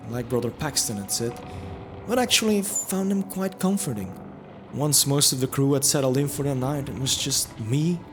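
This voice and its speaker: A man narrating a scripted fantasy audio drama in the first person, reflective, with wry asides. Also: medium pitch. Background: music under the voice.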